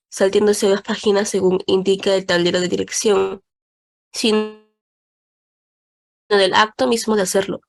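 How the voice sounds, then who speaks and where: A woman's voice: medium pitch, vocal fry. A young woman with a Peruvian Spanish accent, a student reading a passage aloud in class.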